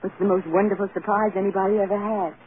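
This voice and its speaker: An actress playing a sweet, adoring young movie star and new bride, soft and earnest, in a vintage radio drama. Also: female voice, medium pitch, steady tone, fast talker, natural voice. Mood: delighted. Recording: scratchy, tinny old radio broadcast.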